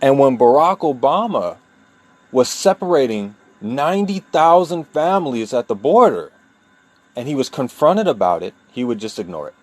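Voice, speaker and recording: A man from New York speaking a personal monologue, deliberate and emphatic, with long pauses and repeated key points. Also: mid-range voice, big pitch swings, darkish tone. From New York, USA. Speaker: vlogger telling his own story.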